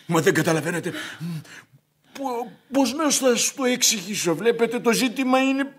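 A man's voice: extremely high pitch, lively intonation, a bit bright. An actor playing a hot-headed, blustering landowner in a radio play, stammering and impassioned as he falls for a woman. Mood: flustered, smitten. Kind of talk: radio theatre acting.

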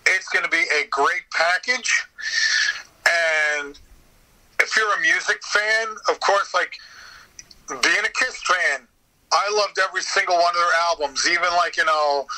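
A man with a fairly high, very bright and forceful voice talks casually as an interview guest. He tells stories in an animated way, acting out bits of conversation and swearing lightly. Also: low-quality recording. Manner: animated storytelling, quoting exchanges, mild swearing. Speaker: podcast guest, voice actor.

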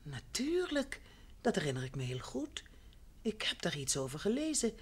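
A woman's voice: lowish voice, sing-song, moderate pace, slightly rough. Refined, hesitant radio-play lady. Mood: apologetic, hesitant. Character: refined, apologetic, evasive woman, easily flustered. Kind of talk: Dutch radio drama acting.

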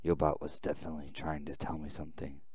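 A man voicing a weary, fearful young man who narrates his ordeal, reading visual novel text with halting, dramatic phrasing. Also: very deep voice, level tone, a little mellow, slightly rough, voice pushed down. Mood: uneasy, hushed.

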